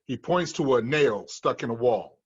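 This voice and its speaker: A man's voice: mid-range voice, lively intonation, normal speed, husky, lo-fi sound. A man reading screenplay stage directions as scripted narration at a table read.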